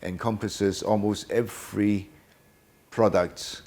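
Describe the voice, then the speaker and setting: An older man in a very deep voice. He answers unhurriedly and hesitantly, filling his pauses with many "uh"s. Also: steady tone, darkish tone. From Hong Kong. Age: about 70. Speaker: guest. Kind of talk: casual interview answers.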